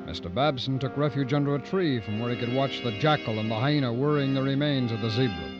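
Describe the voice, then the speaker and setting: Guy narrating an old 50s radio suspense drama, music behind him, kinda muffled, medium pitch. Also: quick pace.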